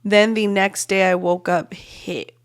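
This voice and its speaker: A young woman with a cold, raspy and congested, co-hosting a casual family podcast and chatting to her listeners. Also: medium pitch, fairly even intonation, unhurried. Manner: chatty, lots of "like" and "you guys". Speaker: podcast co-host. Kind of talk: casual chat.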